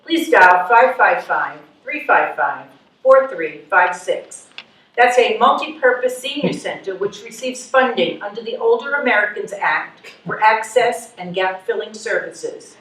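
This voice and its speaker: A woman's voice: medium pitch, animated, a little slow, fairly bright tone. A woman playing an impersonal, unhelpful government clerk with formal, jargon-laden delivery in a readers theatre show. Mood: officious. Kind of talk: readers theatre stage performance. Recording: echoey hall, distant microphone.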